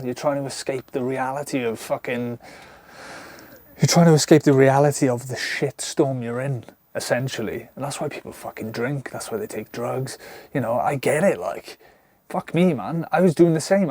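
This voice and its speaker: A man's voice: mid-range voice, a little mellow, no echo. A Welsh man in his thirties from South Wales, talking casually to camera inside his camper van, rambling with many ums and restarts and swearing freely. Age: 30s. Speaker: vlogger. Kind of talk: casual unscripted talk to camera.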